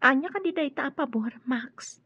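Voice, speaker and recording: A woman's voice: high voice, animated, normal speed, dry recording. A woman playing a wary, fearful young woman torn by a family feud in a radio drama. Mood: hesitant. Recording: muffled, lo-fi radio drama audio.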